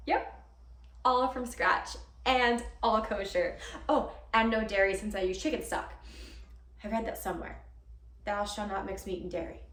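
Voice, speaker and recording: A woman's voice: mid-range voice, normal speed, a bit bright, close to an everyday voice. A woman playing an earnest, nervous young girlfriend who rambles and corrects herself in a theatrical monologue. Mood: chatty, wistful. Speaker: actor performing a monologue. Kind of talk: theatrical comic-dramatic monologue. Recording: roomy, distant mic with background hiss.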